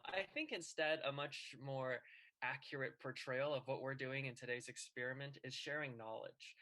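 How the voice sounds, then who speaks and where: A man's voice: mid-range voice, bright, forceful tone, rough recording. A young man in his twenties giving an earnest class presentation over a video call, with frequent ums and uhs. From United States. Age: 20s. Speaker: student club president presenting to a class.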